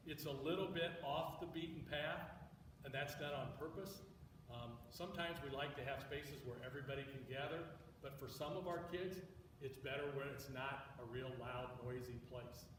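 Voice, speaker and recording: A man with a large, booming voice, a school administrator presenting building plans to the community in a big auditorium. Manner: loud booming voice; explanatory, walks through a floor plan. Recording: echoey hall from far off, noisy.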